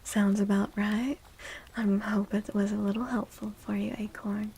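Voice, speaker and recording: Soft whispery asmr streamer girl, woman, really slow and gentle, bit of vocal fry. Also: mid-range voice, level tone, a little hiss or hum. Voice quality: breathy, whispery. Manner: gentle, soothing, unhurried. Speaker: livestream host. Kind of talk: soft-spoken ASMR, tarot reading and chatting with viewers.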